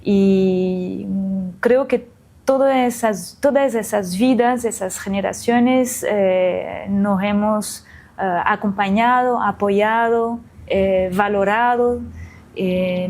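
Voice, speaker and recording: A woman from France, in her forties, answers interview questions about her life in an unhurried way. She pauses often and fills gaps with soft hesitations and restarts, thinking aloud as she goes. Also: mid-range voice. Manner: hesitant, many fillers and restarts. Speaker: interview guest, illustrator. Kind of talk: reflective conversational interview.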